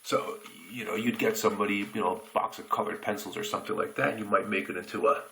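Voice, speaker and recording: An American man telling a childhood story in a relaxed, reflective way while shaving, recorded on a phone camera in a bathroom. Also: fairly low pitch, creaky, crowd noise. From United States. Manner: reflective, unhurried anecdotes with pauses. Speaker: shaving reviewer.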